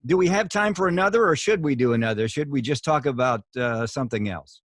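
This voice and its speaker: A man's voice: mid-range voice, lively intonation, brisk, dry recording. Dry, wisecracking radio host man. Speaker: radio talk show host. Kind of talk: casual chat. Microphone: video call.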